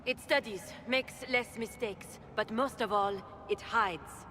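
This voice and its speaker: An actress playing a grave, knowing woman in a fantasy video game, deliberate and ominous. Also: a little high, bright, forceful tone. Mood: ominous warning. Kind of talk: dramatic video game voice acting. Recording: long hall reverb, faint noise.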